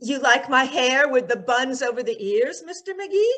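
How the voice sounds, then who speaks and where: Woman playing high, girlish radio-comedy gal. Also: lively intonation, moderate pace, fairly bright tone. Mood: chirpy, eager. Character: Alice, chirpy girlish young acquaintance. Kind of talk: old-time radio comedy acting.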